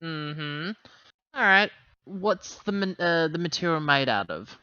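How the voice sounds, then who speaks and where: A man's voice: on the high side, expressive, measured pace, bright, forceful tone, clear, resonant tone, no echo, muffled recording. A man chatting casually as a player in a tabletop game, talking through his moves in a wordy, roundabout way.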